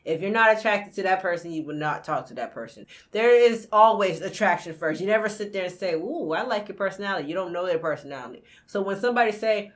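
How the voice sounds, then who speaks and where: A woman's voice: mid-range voice, expressive, quick pace, hardly any pauses. A young woman hosting a reaction video, talking bluntly and swearing freely as she comments.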